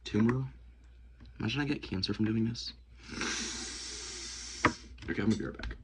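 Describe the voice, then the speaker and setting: A young man vlogging casually while working at a desk, rambling and talking to himself with many hesitations and often dropping to a hush. He sounds sniffly and congested. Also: on the low side, moderate pace. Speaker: outdoors vlogger. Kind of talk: casual hands-on vlog. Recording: noisy home recording.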